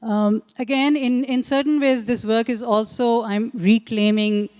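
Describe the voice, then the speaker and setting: A woman artist whose first language is Urdu, speaking reflectively on a panel in a large auditorium, pausing often with fillers and restarting sentences. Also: a little high, fairly even intonation, a little mellow, smooth. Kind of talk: panel talk about her art.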